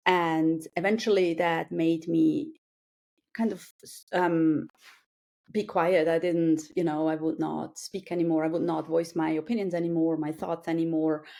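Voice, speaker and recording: A woman's voice: low-pitched, vocal fry, dark tone. A woman in her forties from Switzerland, a guest in an interview, talking casually with many hesitations and restarts. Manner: hesitant, many restarts and fillers, laughs easily.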